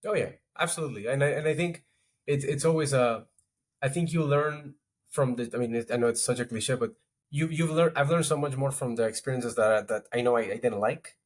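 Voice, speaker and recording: A man speaking fast in a relaxed interview, circling back on his thoughts with many restarts and filler words. His voice sits at a middle pitch and he sounds like a guest chatting into a podcast mic. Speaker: podcast guest, strategy executive. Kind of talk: casual interview talk.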